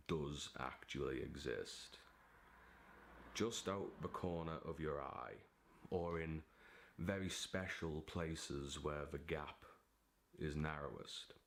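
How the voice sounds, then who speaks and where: A man talking casually to camera as a vlogger and writer, in a theatrical, giddy, digressive manner. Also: a bass voice. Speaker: vlogger and aspiring novelist.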